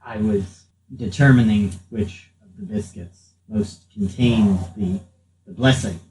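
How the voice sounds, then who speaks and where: A dignified veteran paladin acted by a man in a tabletop roleplay. He talks slowly and formally, with a mellow, earnest tone. The recording is roomy with faint noise. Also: fairly low pitch, close to an everyday voice. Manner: formal, measured. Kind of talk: improvised tabletop roleplay in character.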